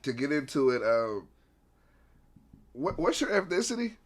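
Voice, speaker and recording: A man hosting an interview, asking questions in a halting way. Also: fairly high pitch, sing-song. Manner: halting, asks short questions. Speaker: interview host.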